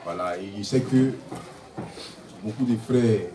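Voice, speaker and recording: A man's voice: lowish voice, slow, warm tone. Male pastor in his forties, sermon delivery, punctuates with alleluia and amen; recorded from a distance in a roomy hall with noise behind. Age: 40s. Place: church hall. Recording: echoey hall, distant mic, noisy.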